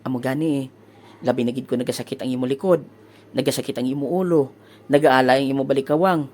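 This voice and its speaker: A man's voice: medium pitch, fairly even intonation, fast talker, a little mellow, lightly acted. A man playing an easygoing Filipino fiancé in a comic audio drama, talking casually. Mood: affectionate. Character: easygoing fiancé working at a massage clinic.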